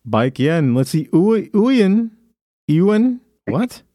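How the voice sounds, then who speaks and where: A man's voice: sits high, very animated, takes it slowly, mellow, no room sound. A man hosting a podcast, reading from his phone in a casual, crude way with frequent laughter. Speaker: podcast host. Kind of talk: casual comedic chat.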